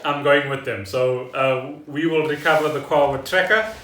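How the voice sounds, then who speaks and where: A man's voice: mid-range voice, steady tone, normal speed, a bit bright. A South African man chatting casually and loosely as a podcast host. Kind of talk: casual rambling chat. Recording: echoey room, distant microphone.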